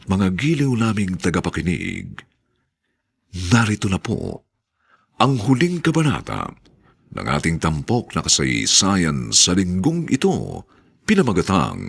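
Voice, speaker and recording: A Filipino man announces a radio drama with theatrical solemnity. His voice is low and gravelly, and it swoops widely from phrase to phrase as he draws out the words. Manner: theatrical, solemn, drawn-out delivery.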